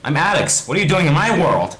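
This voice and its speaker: A man's voice: a little high, big pitch swings, quick pace, fairly bright tone, echoey room, voice pushed up, rough recording. A man voicing an angry, territorial young woman in a curt, challenging manner, from a fan fiction story. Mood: indignant, defiant.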